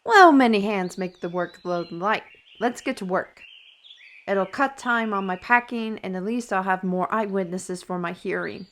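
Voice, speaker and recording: A woman's voice: medium pitch, normal speed, a bit bright, natural voice. A woman playing a wry, learned artisan steward in a fantasy audio roleplay, talking conversationally and instructively to the listener. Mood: exasperated, resigned.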